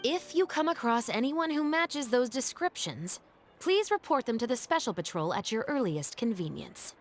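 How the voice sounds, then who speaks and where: A woman's voice: fairly high pitch, sing-song, normal speed, faint music behind. A woman voicing a dutiful officer of the law in a game, speaking in a formal, composed way. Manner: formal, composed, lightly weary.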